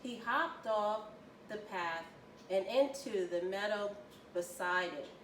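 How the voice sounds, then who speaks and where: A woman reading a children's story aloud with expressive, deliberate storytelling. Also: mid-range voice. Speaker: volunteer children's story reader. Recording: roomy, distant mic, faint noise.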